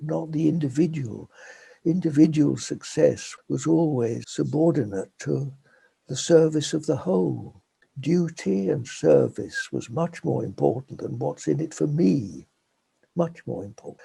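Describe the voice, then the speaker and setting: Gravelly older Englishman, soft and reflective. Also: fairly high pitch. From England. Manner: gentle, unhurried, laughs softly between thoughts. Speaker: guest. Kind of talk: reflective interview talk.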